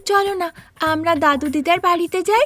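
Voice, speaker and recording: A woman's voice: very high voice, moderate pace, a bit bright, no room sound. A woman voicing an eager, pleading little girl in a cartoon story. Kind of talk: Bengali cartoon voice acting.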